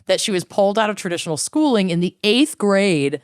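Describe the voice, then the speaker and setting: A woman's voice: medium pitch, animated, brisk, no room sound, clean studio sound. A woman co-hosting a podcast, telling a story in a casual chatty way, swearing freely and saying "like" often. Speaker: podcast co-host.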